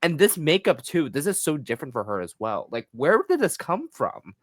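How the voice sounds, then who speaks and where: A man's voice: on the high side, sing-song, normal speed, dry recording. A man co-hosting a podcast in casual chat, effusive and enthusiastic, peppering his sentences with "like". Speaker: podcast co-host reviewing drag runway looks.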